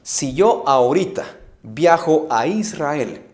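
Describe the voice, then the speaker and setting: A man from Guatemala, preaching an emphatic sermon full of rhetorical questions and loud bursts. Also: a little high, expressive, takes it slowly.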